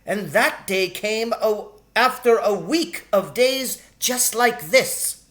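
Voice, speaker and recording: A man voicing a tiny, sharp-witted, short-tempered lady shrew from a storybook in a brisk, exclaiming manner. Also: sits high, animated, voice pushed up, heavily acted. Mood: grim, complaining. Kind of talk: storybook character voice in an audiobook reading.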